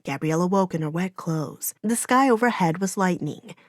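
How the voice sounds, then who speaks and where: A woman's voice: fairly low pitch, lively intonation, normal speed, no echo, clean studio sound. A woman reading a fantasy audiobook as narrator, in dramatic, solemn storytelling.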